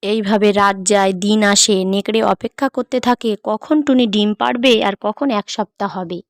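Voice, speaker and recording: A woman's voice: mid-range voice, steady tone, normal speed, no echo, studio quality. A woman narrator reading a scripted children's cartoon story.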